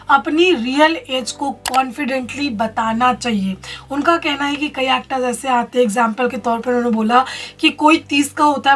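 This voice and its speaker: A woman presenting an advice vlog, explaining things directly to listeners as a casting director and host. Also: high voice. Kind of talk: advice vlog talking to camera.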